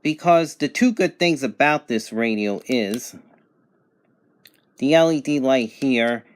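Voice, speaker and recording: A man's voice: mid-range voice, lively intonation, slow pace. A man giving a casual, unscripted product review in a plain-spoken, matter-of-fact way. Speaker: radio reviewer.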